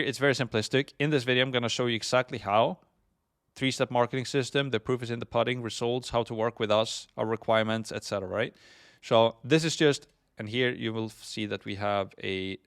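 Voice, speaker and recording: A man's voice: lowish voice, gravelly, fairly bright tone. Swedish-accented man with a casual teaching tone. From Sweden. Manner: frequent fillers, "essentially" and "right?". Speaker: course instructor. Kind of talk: recorded marketing lesson.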